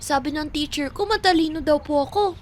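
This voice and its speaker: A woman's voice: high-pitched, fairly quick, a little hiss or hum, natural voice. A woman playing a sweet, wistful little Filipino girl in an audio drama. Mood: eager, proud.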